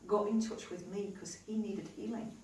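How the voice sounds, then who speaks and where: A woman with a low contralto voice talks to a live audience in a chatty, animated way, acting out the lines of people in her story. She sounds set back from the microphone in an echoey hall, with faint room noise behind her. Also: moderate pace. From New Zealand. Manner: animated storyteller, acts out quotes. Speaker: healer and live event host. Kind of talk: chatty stage talk to an audience. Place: live event venue. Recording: echoey hall, heard from a distance.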